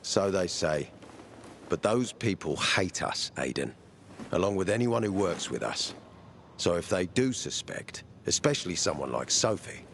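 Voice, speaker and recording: A cold, menacing militia officer. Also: male voice, deep voice, sing-song, measured pace, gravelly, slight background noise, exaggerated voice. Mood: warning. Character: stern, threatening male militia officer. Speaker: Peacekeeper officer.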